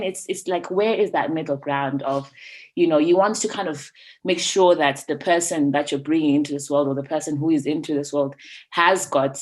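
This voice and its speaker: A woman with a notably low voice co-hosts a casual podcast chat. She thinks aloud as she goes, leaning on "you know" and "like" while she works toward her point. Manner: thoughtful, fills with "you know" and "like", frequent "mm" agreement. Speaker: podcast co-host.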